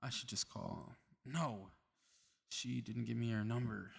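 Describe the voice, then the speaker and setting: A man's voice: mid-range voice, a little slow, vocal fry, husky, full character voice. A man playing a cocky, playful superhero in a flirty ASMR roleplay audio drama, with casual teasing banter. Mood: dithering.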